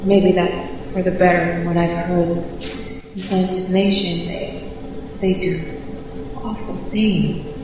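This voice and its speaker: A woman playing a devout, frightened spacefarer stranded alone, speaking in halting, faltering phrases in a science fiction audio drama. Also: fairly low pitch, little pitch movement. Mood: bleak, fearful. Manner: halting, breathy, faltering delivery with broken phrases. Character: stranded female spacefarer, devout, terrified, recording her last hours. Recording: distant, noisy, cavernous echo with long reverb.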